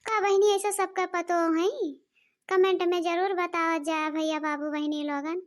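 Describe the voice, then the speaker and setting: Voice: very high-pitched, level tone, a little slow. A cartoon performer voicing a bossy, complaining village mother-in-law with an Awadhi accent and a childlike voice, scolding and grumbling. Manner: scolding, grumbling, addresses viewers directly. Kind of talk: exaggerated cartoon comedy dialogue.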